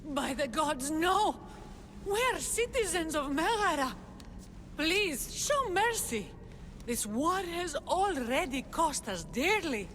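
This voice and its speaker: A woman's voice: high voice, big pitch swings, slow pace, a bit bright, heavily acted. A woman playing a desperate, starving ancient Greek peasant in a video game, pleading in distress. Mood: distraught, pleading. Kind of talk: video game cutscene acting. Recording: long reverb, faint noise.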